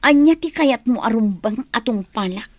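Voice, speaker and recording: An actress playing a young wife, hurt and questioning, protesting against a controlling husband in a radio drama. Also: female voice, a little high, animated, moderate pace, no echo. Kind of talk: Ilocano comedy-drama radio acting.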